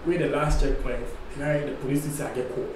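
A man's voice: on the high side, a little fast. A man in his thirties with a Ghanaian accent, animatedly telling a travel story on a podcast. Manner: animated storyteller, acts out police voices. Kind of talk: casual storytelling in Pidgin-tinged English. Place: dark studio set. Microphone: boom-arm studio mic. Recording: echoey room with faint hum.

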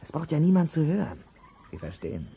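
A man playing a shady, secretive father with a rough, raspy voice in a German children's radio play. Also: fairly high pitch, very animated, a little fast, mellow, heavily acted.

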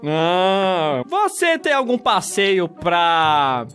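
A man's voice: high voice, very animated, extremely slow, fairly bright tone, faint music behind. A man making prank phone calls in a mock-innocent, provoking way. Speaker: prank caller.

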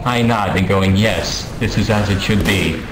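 A man telling a mock-dramatic comic story over game voice chat, pompous and deliberate, with profanity thrown in. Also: lowish voice. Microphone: gaming voice chat microphone. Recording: roomy, noisy game voice-chat audio.

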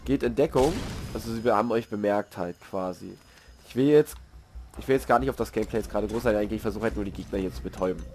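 A man's voice: lowish voice, quick pace, darkish tone, soft background music. A man who has a cold, casually reviewing a video game while he plays, rambling with fillers and slang asides. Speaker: gamer giving a review. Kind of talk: casual game commentary over gameplay.

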